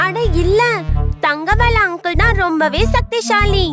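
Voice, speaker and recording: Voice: very high-pitched, a little slow, over loud music. An actor voicing a small, eager young bird with a childlike voice in a children's cartoon. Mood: insistent.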